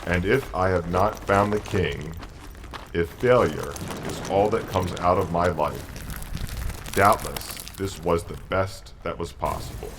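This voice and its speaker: Very deep, solemn old wise man played by a man. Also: measured pace, noisy background. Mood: resolute, reflective. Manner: solemn, reflective delivery. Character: old dying wise man, humble, devout, earnest. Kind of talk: dramatic audio story acting. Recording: music behind the voice.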